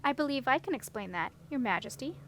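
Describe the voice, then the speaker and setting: A reader plays a self-assured woman in a storybook, high in pitch. She is calm, courteous and matter-of-fact, with faint noise behind her. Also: fast.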